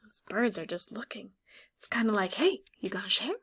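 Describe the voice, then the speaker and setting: A woman teacher doing an interactive read-aloud for young children, expressive and instructive, stressing key words and pausing after questions. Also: medium pitch, slightly rough.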